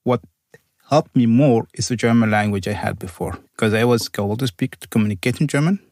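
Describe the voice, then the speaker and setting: Gravelly-voiced man, Arabic native speaker. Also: mid-range voice, a little fast, darkish tone, no echo, clean studio sound. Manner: careful, searching for words, slightly non-native phrasing. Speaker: university program coordinator. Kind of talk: conversational interview answers.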